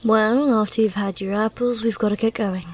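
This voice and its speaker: A woman's voice: mid-range voice, fairly even intonation, normal speed, a little mellow, a little hiss or hum, natural voice. A woman playing a teasing, grudgingly fond thief with a dry, mock-impatient manner in an intimate ASMR roleplay. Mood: exasperated, wry.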